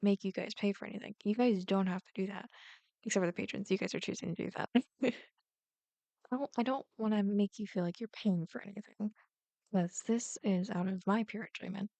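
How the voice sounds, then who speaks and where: A woman's voice: medium pitch, vocal fry, darkish tone, dry recording, muffled recording. A woman content creator chatting casually to her viewers in a giggly, rambling way. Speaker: ASMR roleplay creator.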